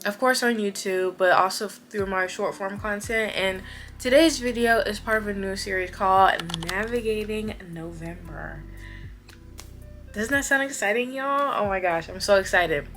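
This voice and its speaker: A woman, chatting casually to camera as a vlogger, animated and repeating words for emphasis. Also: medium pitch, moderate pace, faint music behind. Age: 20s.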